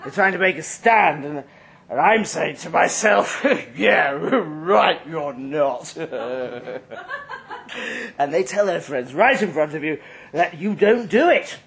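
A man acts out a drunk, mean banker in a live story reading, boasting crudely in a high voice. He draws his words out slowly and swings widely in pitch as he rambles and taunts. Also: expressive, vocal fry, fairly bright tone, exaggerated voice. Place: live reading at a London venue. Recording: live event recording, faint background noise.

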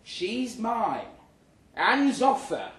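Man playing melodramatic lovesick office man, high and strained. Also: wildly swooping pitch, extremely slow, a bit bright, full character voice. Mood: nervous, indignant. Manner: melodramatic, stammering tough talk. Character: lovesick, blustering young office man, desperate and pleading. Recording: roomy, distant live recording with noise.

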